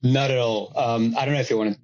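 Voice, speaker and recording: A man in his thirties, a podcast guest, chatting casually and telling his story in a relaxed, wry, self-deprecating way over a video call. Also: medium pitch, quick pace. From Italy. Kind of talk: casual conversation. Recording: dull lo-fi video call audio.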